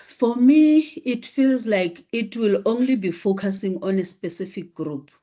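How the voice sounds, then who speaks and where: A woman student giving her view in a class discussion. She speaks slowly and politely, with a warm, mellow voice at a middle pitch. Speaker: university student.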